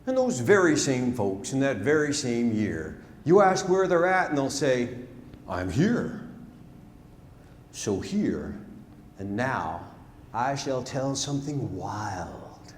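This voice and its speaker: A man recites rhyming narrative verse on stage as a storyteller, dramatic and deliberate, swelling and then hushing his phrases. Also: mid-range voice, sing-song. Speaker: storyteller performing a narrative poem. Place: large theatre, live stage performance. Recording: live theatre stage, long reverb, noisy.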